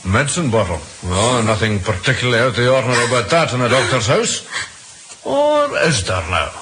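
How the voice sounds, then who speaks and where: A man's voice: medium pitch, sing-song, fairly quick, fairly bright tone. A male actor playing a brusque, probing police sergeant in an old radio drama, commanding and full of questions. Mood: brisk, probing. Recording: hissy old radio recording.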